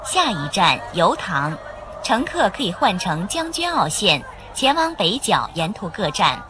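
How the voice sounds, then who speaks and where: A woman's voice: mid-range voice, big pitch swings, a little slow. A woman giving formal, scripted public transit announcements as a recorded train announcer. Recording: clips with faint station noise.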